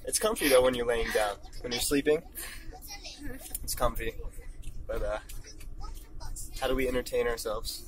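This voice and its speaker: A young man chatting casually as a travel vlogger, joking and relaxed, filmed on a handheld camera. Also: mid-range voice, normal speed, long pauses, background noise. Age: young adult. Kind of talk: casual vlog commentary. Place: sleeper train cabin and small kitchen.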